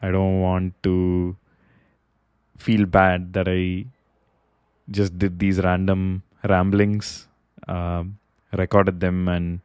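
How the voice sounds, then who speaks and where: A man whose first language is not English, hosting a solo podcast and thinking aloud with many pauses and filler words. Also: low voice, a little mellow, clear, resonant tone, no room sound. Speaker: podcast host. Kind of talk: solo reflective podcast monologue. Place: Bay Area, California.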